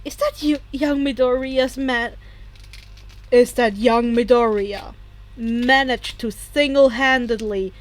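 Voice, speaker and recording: Woman acting a loud, distrustful male superhero. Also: fairly high pitch, rough recording. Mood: shocked, incredulous. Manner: loud, indignant, incredulous.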